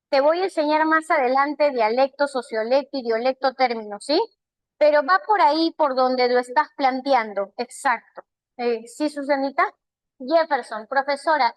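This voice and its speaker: A woman with a Peruvian Spanish accent teaching a live online language class, answering students' questions and praising them warmly by name. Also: sits high, dry recording, rough recording. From Peru. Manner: asks many rhetorical check questions.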